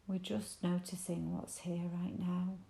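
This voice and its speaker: Low, gravelly, soothing woman guiding meditation. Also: level tone, reverberant. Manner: gentle, soothing, unhurried pauses, invitational. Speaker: meditation guide. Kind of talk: guided body scan meditation.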